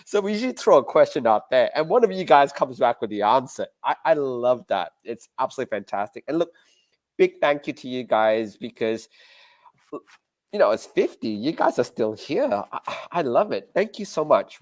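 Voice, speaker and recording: A man's voice: mid-range voice, very animated, normal speed, a little mellow, no room sound. An Australian man co-hosting a casual live-stream chat, earnest and rambling. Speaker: podcast co-host.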